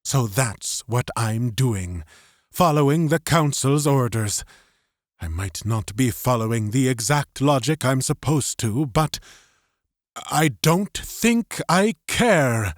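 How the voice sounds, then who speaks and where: A man voicing an earnest, determined idealist in an audiobook, speaking haltingly and with conviction. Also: medium pitch, lively intonation, dry recording, studio quality, pitched above the performer's own voice. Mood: solemn, determined. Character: earnest, resolute young idealist defying his superiors. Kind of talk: audiobook character acting.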